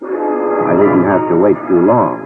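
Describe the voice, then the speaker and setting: An actor in a 1950s radio detective drama playing a tough, wisecracking middle-aged private eye who narrates in dry hard-boiled style. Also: male voice, sits low, expressive, slow, creaky, full character voice. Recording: tinny lo-fi 1950s radio with music cues.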